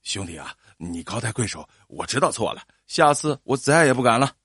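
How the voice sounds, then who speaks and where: A man's voice: mid-range voice, sing-song, a little fast, fairly bright tone, slightly rough, no room sound, clean studio sound, pitched below the performer's own voice. A man voicing a hapless, scheming farmer who stammers, sighs and pleads nervously, in a storytelling performance. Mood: pleading.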